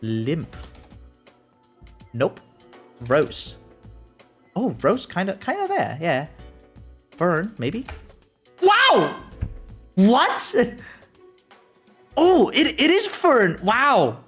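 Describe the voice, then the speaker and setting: A game streamer with a mid-pitched voice talks casually on a live stream, thinking aloud as they go. The delivery rambles and often tags thoughts with a quick check-in question. Music plays behind the voice. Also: wildly swooping pitch, fairly bright tone, slightly rough. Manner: rambling, repeatedly tags sentences with "right?". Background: background music.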